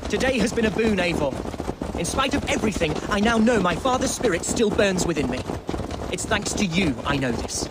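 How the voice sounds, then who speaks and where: Earnest high-voiced young nobleman. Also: sing-song, fairly quick, heavy background noise. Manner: formal, hesitant, sincere. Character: earnest, unsure young nobleman leading an army. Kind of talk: video game character acting.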